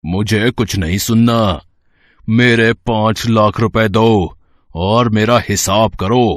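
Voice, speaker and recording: A man's voice: on the low side, fairly even intonation, slow, no room sound, studio quality. A man playing a menacing street goon in a cartoon moral story, threatening and demanding. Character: menacing street goon who deals in children. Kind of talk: Hindi animated moral story acting.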